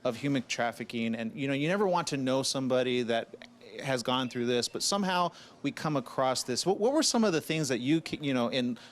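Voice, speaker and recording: A man's voice: mid-range voice, animated, a little fast. A man interviewing actors in a casual, chatty way, upbeat and full of "you know". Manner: chatty, upbeat, many you-knows and restarts.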